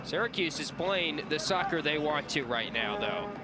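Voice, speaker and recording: A man's voice: on the high side, very animated, normal speed. A man giving live soccer analysis as a color commentator, conversational and analytical. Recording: clearly noisy live broadcast ambience.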